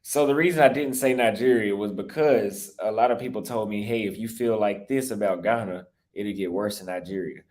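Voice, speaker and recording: A young American man with a slightly low voice, talking off the cuff on a livestream about his travels. He rambles, restarts his sentences and gets defensive, and his voice sounds a little cracked and worn. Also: fairly quick, few pauses, darkish tone. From United States. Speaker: travel YouTuber live-streaming. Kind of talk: casual livestream talk reading super chats.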